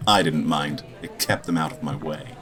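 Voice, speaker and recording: An actor playing a cocky, weary interplanetary spy in a sci-fi audio drama, wry and deadpan. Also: male voice, very deep voice, moderate pace. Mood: wry, self-assured. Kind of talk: noir-style narration and dialogue in sci-fi audio drama.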